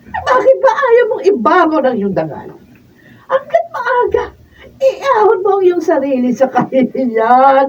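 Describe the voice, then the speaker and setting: A woman's voice: sits high, a little mellow, distant mic. An actress playing a stern older mother or aunt in a Filipino radio drama, giving emphatic counsel. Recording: dull off-mic sound, faint noise.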